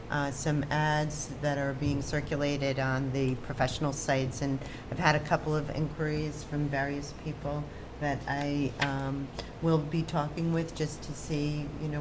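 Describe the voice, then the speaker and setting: An American woman chairing a town meeting, giving informal updates in hesitant, measured phrases. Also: low voice, flat delivery. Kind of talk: informal public meeting remarks. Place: indoor town board meeting. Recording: noisy public meeting room ambience.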